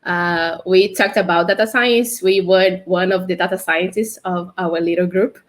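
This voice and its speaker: A woman's voice: lowish voice, steady tone, normal speed. Chatty woman with a Brazilian accent. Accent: Brazilian Portuguese. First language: Portuguese. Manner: chatty, laughs often, frequent fillers. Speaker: guest, developer advocate. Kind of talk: casual livestream interview.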